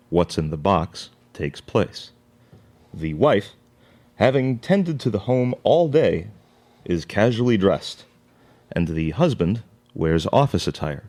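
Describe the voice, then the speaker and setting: A man reading scripted scene narration for a live radio play in a measured, formal manner. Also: deep voice, very animated, darkish tone. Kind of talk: scripted narration of stage directions.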